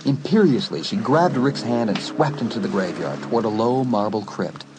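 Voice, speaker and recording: Guy narrating a dramatic story, lowish voice that moves around a lot, music behind him. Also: animated, moderate pace. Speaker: audiobook narrator. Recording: music under the voice.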